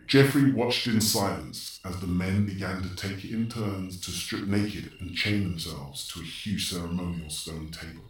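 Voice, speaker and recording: A man's voice: low voice, normal speed, few pauses, a little hiss or hum, natural voice. A man performing grave, suspenseful scripted narration for a horror audio drama. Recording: heavily echoing, distant, faint night ambience.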